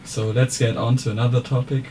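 A man interviewing a musician, asking relaxed, friendly questions. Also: mid-range voice, a little fast, a crowd in the background. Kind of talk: casual interview. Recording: steady background noise.

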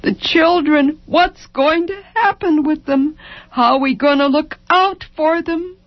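Woman acting an anguished, worn-out mother, slow and bitter. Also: low voice, no room sound, muffled recording. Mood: broken, despairing. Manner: bitter rhetorical questions in clipped folksy speech.

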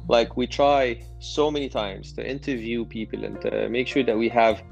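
A man's voice: mid-range voice, steady tone, moderate pace, vocal fry, dull audio, soft background music. A man answering interview questions as a startup founder, hesitant and often repeating words as he restarts.

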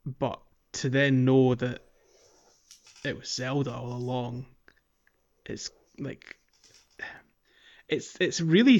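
A man hosting a casual podcast about a game, talking in a halting, thoughtful way. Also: medium pitch, darkish tone. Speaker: podcast host. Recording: slightly dull, muffled audio.

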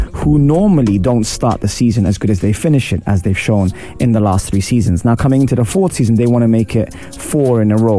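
A man with a husky voice hosting a radio show, chatting casually through a football match review and greeting listeners. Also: medium pitch. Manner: chatty, shout-outs to listeners. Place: radio studio.

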